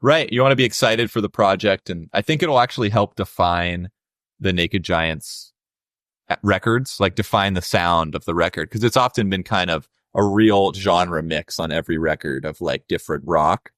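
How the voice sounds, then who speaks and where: A young adult man with a low voice, a musician guest talking casually and reflectively in an interview, with many fillers. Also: normal speed, fairly bright tone, no echo, studio quality.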